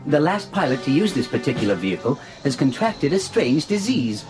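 An actor playing a prissy, polite protocol droid in a radio drama, speaking in a formal, fussy, overly proper way. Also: male voice, on the high side, sing-song, normal speed, music in the background, rough recording. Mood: officious.

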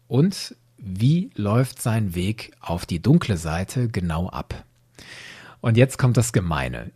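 A man's voice: lowish voice, darkish tone, breathy edge. A man hosting a podcast, explaining things to listeners in an emphatic, conversational and orderly way. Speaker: podcast host. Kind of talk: explanatory solo podcast narration, addressing listeners directly.

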